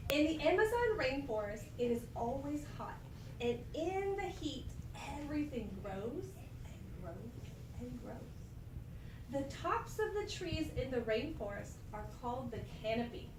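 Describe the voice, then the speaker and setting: A woman teacher doing an expressive read-aloud for her class, stopping to give them prompts. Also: high-pitched, measured pace, noisy background. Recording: echoey classroom from far off.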